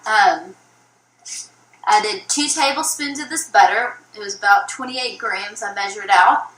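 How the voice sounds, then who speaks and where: A young woman narrating a casual food vlog in a flat, low-energy way, with many ums. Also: unhurried, a little hiss or hum, rough recording. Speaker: YouTuber. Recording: old video played from a phone.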